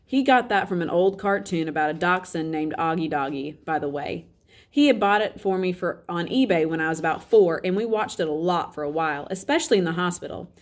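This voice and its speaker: A woman reads a children's story aloud in a lowish voice. She narrates as a teacher would for a class. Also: animated, brisk.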